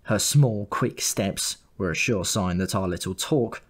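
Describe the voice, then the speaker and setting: A man with a fairly low voice narrates a comic first-person story. His delivery is wry and dry, like a scripted audiobook read. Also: a little slow, husky.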